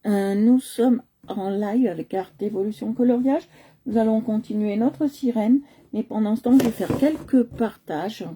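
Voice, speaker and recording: A woman's voice: fairly high pitch, measured pace, noisy background. A woman from Nice hosting a live coloring stream, chatting casually with many hesitations and fillers, talking into a computer at home. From Nice, France.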